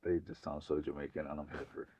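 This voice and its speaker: A man's voice: a bass voice, level tone, fast talker, darkish tone, no room sound, dull audio. A man with an urban British accent interviewing someone casually, with informal slang and affirming remarks.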